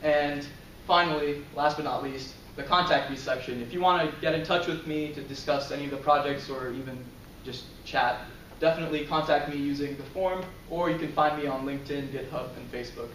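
A young man walks a class through his personal webpage in a relaxed, filler-heavy way, chuckling now and then. His slightly high voice is picked up from a distance by a camera in a roomy lecture hall with faint background hum. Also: brisk, hardly any pauses. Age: young adult. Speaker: student presenter. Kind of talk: class presentation. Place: lecture room, in front of a projector screen. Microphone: far camera.

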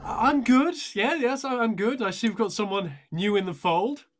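A man's voice: high-pitched, normal speed, lightly acted. A man playing a polite, theatrical amateur thespian in a comedy sketch, genteel and a little hesitant. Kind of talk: comedy audio sketch acting.

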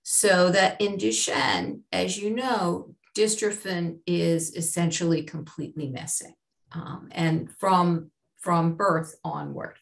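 A middle-aged woman with a Boston accent, presenting a medical webinar over a video call in a measured, explanatory way. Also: lowish voice, vocal fry. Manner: measured, explanatory, slow and deliberate. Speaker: chief medical officer. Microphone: webcam on a video call.

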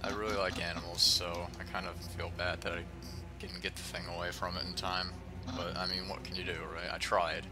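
An American man from Ohio giving casual, rambling, profane gameplay commentary in a monotone manner. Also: sits low, brisk. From United States, Ohio. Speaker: let's play host. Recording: game music behind the voice. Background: video game music.

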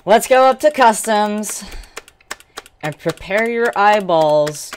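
A man's voice: high-pitched, animated, takes it slowly, a little hiss or hum. A man giving casual, offhand gameplay commentary in short fragments as a streamer. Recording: game music behind the voice.

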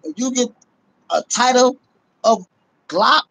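A man's voice: very high voice, slow, gravelly, pushed and bright, poor audio. A middle-aged man talking casually about guns on a phone livestream from a small room at home, swearing and laughing as he goes. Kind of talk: casual chat. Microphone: phone held at arm's length. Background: fireworks outside.

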